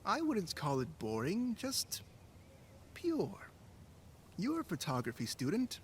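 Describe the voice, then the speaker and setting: Creepy male teacher, lively swooping pitch, genteel, theatrical, unctuous, visual novel game, faint noise. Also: takes it slowly, heavily acted. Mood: eerily earnest. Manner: theatrical, overly polite, unctuous. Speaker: photography teacher character. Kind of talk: scripted visual novel voice acting.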